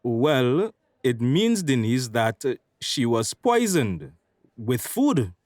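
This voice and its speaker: Formal Tobagonian doctor, radio drama, unhurried, expressive pitch, dry recording. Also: male voice, no echo. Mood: grave, careful. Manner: measured, formal. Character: polite, formal doctor delivering grave news.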